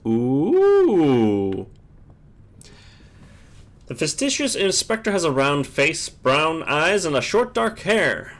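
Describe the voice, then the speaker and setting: A man's voice: on the high side, sing-song, unhurried, hardly any pauses, pushed and bright. A man hosting a casual gaming stream, chatting and reading game text aloud, often stumbling and rereading words.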